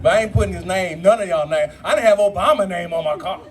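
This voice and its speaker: A man's voice: sits high, quick pace. A man doing a brash, profane stand-up set in a brew pub comedy club, acting out other people in his bits.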